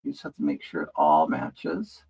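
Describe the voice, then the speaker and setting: A man's voice: a bass voice, wildly swooping pitch, moderate pace, creaky, darkish tone, slightly rough. Rambling man chatting while doing makeup. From rural California. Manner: rambling and hesitant, many restarts, reading and answering chat. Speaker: livestream host. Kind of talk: casual chat with viewers while doing makeup.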